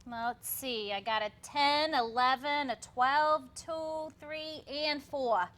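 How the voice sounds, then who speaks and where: An actress playing a weary, evasive young housewife in a theatrical comedy reading, hesitant and flustered. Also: female voice, sits high, takes it slowly, fairly bright tone. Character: weary, evasive young 1960s housewife, sweet but frazzled.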